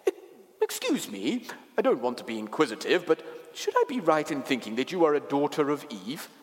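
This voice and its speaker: Man playing a fussy storybook faun, high excitable pitch, stammering, courteous, formal, long echoing reverb. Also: a little fast. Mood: hesitant, curious. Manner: stammering, courteous, old-fashioned formal speech. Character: flustered, polite, fussy male faun, small and friendly.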